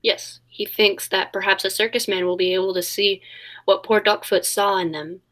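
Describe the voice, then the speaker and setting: An actress playing a trusting, polite heroine in a 1940s-style radio detective play, speaking earnestly. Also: female voice, mid-range voice, level tone, normal speed, fairly bright tone, natural voice. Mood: innocent.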